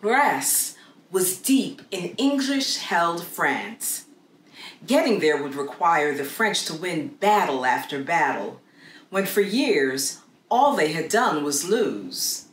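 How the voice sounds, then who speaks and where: A middle-aged woman reading a children's story aloud with expressive, deliberate dramatic emphasis, recorded in a small room. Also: lowish voice. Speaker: storybook read-aloud narrator. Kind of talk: scripted narration, children's read-aloud story. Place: small plain room, indoors. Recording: roomy echo with clear background noise.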